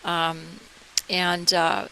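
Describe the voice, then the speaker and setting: A woman's voice: fairly low pitch, completely flat delivery, very slow, pushed and bright, low-quality recording. An older woman with an American accent, reminiscing in a casual, rambling storytelling way as an interview guest. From United States, military family abroad. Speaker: interview guest, organization founder. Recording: clear hiss behind the voice.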